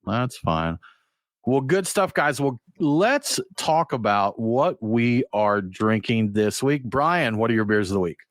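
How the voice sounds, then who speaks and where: A man's voice: mid-range voice, slow, dry recording, clean studio sound. A man hosting a beer podcast in casual, jokey chat. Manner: chatty, jokey, animated. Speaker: podcast host.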